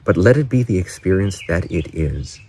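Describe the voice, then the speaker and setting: A man leading a guided meditation in gentle, unhurried short phrases with pauses between them. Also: sits low, lively intonation, a little hiss or hum. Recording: birdsong and faint outdoor ambience behind. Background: doves, frogs, birds and distant cars.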